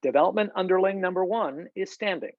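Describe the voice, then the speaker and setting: A narrator reads screenplay stage directions at a medium pitch. The sound is close and dry, with slightly dulled video-call audio. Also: fast talker, dry recording, muffled recording. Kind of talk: scripted narration, screenplay table read.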